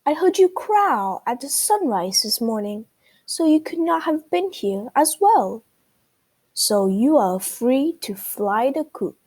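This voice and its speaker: A woman's voice: high-pitched, big pitch swings, takes it slowly, darkish tone, exaggerated voice. A woman voicing a confident, inquisitive male duck detective in a children's storybook. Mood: inquisitive, triumphant. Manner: inquisitive, explanatory, exclaiming.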